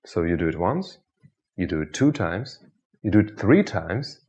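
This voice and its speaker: A man with a Russian accent teaches a hypnosis technique, his voice sitting somewhat low. He talks the listener through each step slowly and soothingly, repeating his suggestions again and again. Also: very animated, a little mellow. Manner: slow, soothing, repetitive suggestions. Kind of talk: guided hypnosis instruction.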